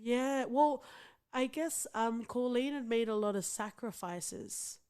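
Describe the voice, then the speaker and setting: A woman co-hosting a podcast, chatty and quick to laugh, who swears casually. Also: on the high side, a little mellow, clean tone. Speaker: podcast co-host. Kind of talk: casual chat.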